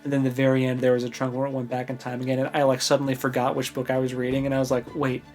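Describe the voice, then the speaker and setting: A man's voice: mid-range voice, completely flat delivery, very fast, a little mellow, faint music behind. A man speaking casually and informally as a podcast co-host in a book discussion, often rephrasing himself as he goes.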